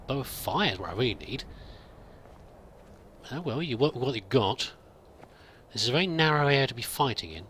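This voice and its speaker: A man's voice: medium pitch, sharp tone, slight background noise. A man giving in-character let's play commentary, musing aloud and muttering to himself as he goes. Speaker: host of a roleplay let's play.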